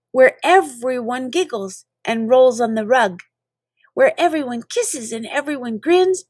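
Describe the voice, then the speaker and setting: A woman's voice: on the high side, dry recording, studio quality. A woman reading children's poetry aloud in a playful, theatrical manner, drawing out words for emphasis. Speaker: reader of children's poems.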